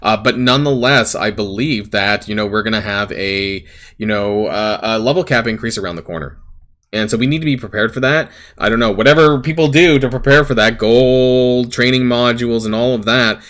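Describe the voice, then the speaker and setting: A man hosting a gaming channel, rambling through casual commentary with lots of fillers and self-corrections, his voice slightly raspy. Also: mid-range voice, moderate pace, a bit bright.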